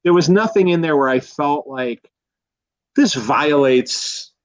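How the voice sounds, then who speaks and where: A man in a casual film discussion as a guest expert, speaking in a halting, rambling way and often correcting himself. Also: a little high, animated, dry recording. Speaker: guest expert, college professor.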